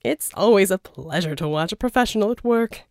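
A woman's voice: medium pitch, animated, a little fast, dry recording, clean studio sound, natural voice. A woman voicing a cheerful, menacing male villain tycoon in an audiobook, with theatrical mock charm. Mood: smug, cheerful.

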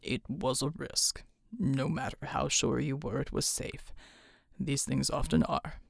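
A woman voicing a calm, injured grown man who speaks slowly in a low, quiet, half-whispered murmur. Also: gravelly, breathy edge, no room sound, studio quality, full character voice. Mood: weary calm.

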